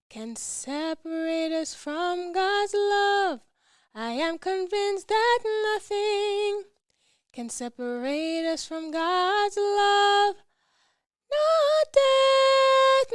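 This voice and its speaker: A woman sings a devotional song in a very high voice. The words are stretched out very slowly. The sound is close and dry, with soft music behind. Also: no echo. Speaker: singer. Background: faint music.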